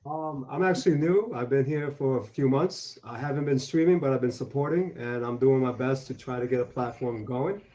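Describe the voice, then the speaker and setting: A man's voice: mid-range voice, a little fast, hardly any pauses, warm tone. New York man, dull lo-fi audio. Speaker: show guest, aspiring streamer. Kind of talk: casual chat.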